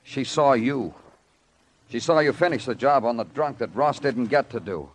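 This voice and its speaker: An American actor playing a tough, world-weary police detective in vintage radio crime drama, with clipped, brooding delivery. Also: male voice, mid-range voice, animated, normal speed, close to an everyday voice. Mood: accusing. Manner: clipped hard-boiled delivery, brooding narration. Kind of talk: 1940s-50s radio crime drama acting.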